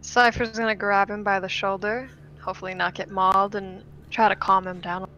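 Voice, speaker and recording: Bright-voiced woman playing a roleplay game. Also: mid-range voice, flat delivery, moderate pace, highs cut off, slight background noise. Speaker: roleplay player. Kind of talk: casual in-character tabletop roleplay.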